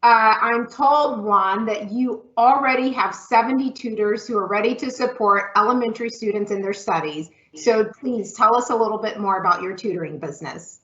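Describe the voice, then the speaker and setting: A woman hosting and moderating a live pitch event over an online call. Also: moderate pace, hardly any pauses, fairly bright tone. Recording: echoey room, dull call audio.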